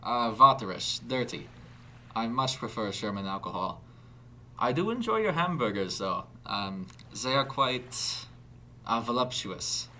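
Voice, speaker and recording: A man voicing a fussy, excitable German investigator of strange creatures, speaking haltingly with a German accent in a story. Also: medium pitch, a little hiss or hum. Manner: halting, searching for words.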